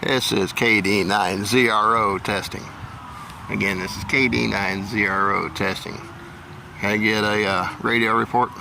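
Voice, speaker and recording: A man with a fairly low, gravelly voice makes casual amateur radio calls and remarks. He is outdoors, with plainly audible noise and vehicles behind him. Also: steady tone, a little slow. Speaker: amateur radio operator and vlogger. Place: outdoors in a local park.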